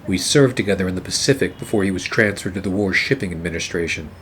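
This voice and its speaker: A man playing a wry, hard-boiled private eye and war veteran, narrating a noir radio play in a terse, deadpan way. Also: sits low, quick pace, a little hiss or hum. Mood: brooding. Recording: music behind the voice. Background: music.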